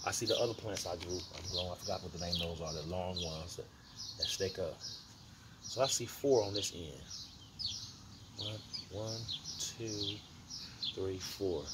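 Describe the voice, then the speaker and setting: An American man with a fairly low voice talks casually as he gardens, circling back over his words and thinking aloud in a folksy way. He is recorded on a phone in a backyard, at uneven distance, with heavy outdoor noise and birds behind him. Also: moderate pace, long pauses. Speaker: home gardener vlogger. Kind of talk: casual rambling outdoor vlog chat. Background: birds and outdoor ambience.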